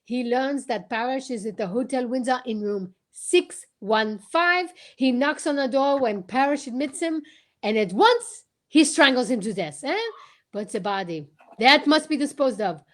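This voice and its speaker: A woman playing a courteous, self-assured male detective, theatrical and deliberate, in a radio play. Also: high-pitched, expressive. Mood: dramatic, confident. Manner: theatrical, deliberate, tag-questioning. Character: courteous, self-assured male French-speaking detective explaining his case. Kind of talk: amateur old-time radio play reading.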